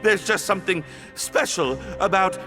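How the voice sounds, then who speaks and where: An actor playing a shy, stammering, apologetic prisoner who is easily flustered, in a video game. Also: male voice, high-pitched, normal speed, close to an everyday voice. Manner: stammering, hesitant, over-polite. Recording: faint game music behind.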